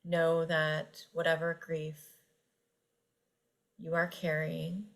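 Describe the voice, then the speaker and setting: A woman guiding a yoga nidra meditation, speaking gently and unhurriedly with long pauses between phrases. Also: deep voice, monotone, creaky. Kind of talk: guided meditation narration.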